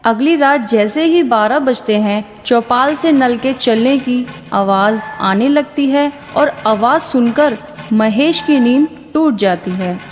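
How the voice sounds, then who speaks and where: A woman's voice: on the high side, takes it slowly, a little hiss or hum. A woman narrating a scripted horror story as its storyteller. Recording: long reverb with faint music. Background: faint background music.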